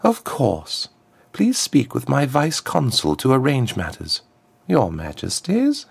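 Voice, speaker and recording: Man putting on a haughty villainess, pitched far up. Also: huge pitch swings, a little slow, exaggerated voice. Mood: taunting. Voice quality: smooth, lifted contralto. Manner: formal, silkily menacing. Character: smug, haughty, athletic woman ambassador and villain.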